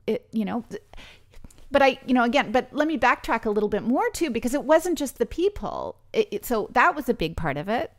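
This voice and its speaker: A woman from British Columbia, speaking as a podcast guest in an earnest, thinking-aloud way with frequent restarts. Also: fairly high pitch. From British Columbia, Canada. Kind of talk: conversational interview.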